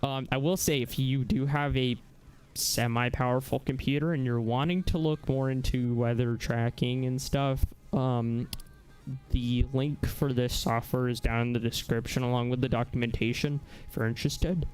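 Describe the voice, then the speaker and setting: Clear-voiced man hosting a casual livestream. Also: medium pitch, steady tone, a little mellow, rough recording. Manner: halting, searching for words as explaining. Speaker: livestream host. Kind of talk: casual live software demonstration. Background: music.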